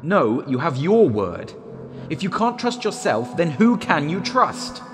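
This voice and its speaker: An actor playing a disembodied recorded guide in a one-act drama, speaking in a measured, deliberate, persuasive way. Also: male voice, a little high, sing-song. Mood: insistent. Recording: long hall reverb, loud music behind.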